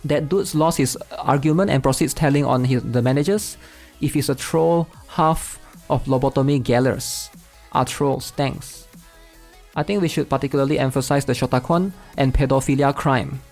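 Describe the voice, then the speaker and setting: A man's voice: mid-range voice, normal speed, creaky, darkish tone, faint music behind. A man hosting a gaming channel, casually commenting while reading online posts aloud and often tagging sentences with "right?". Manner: chatty, tags sentences with "right?".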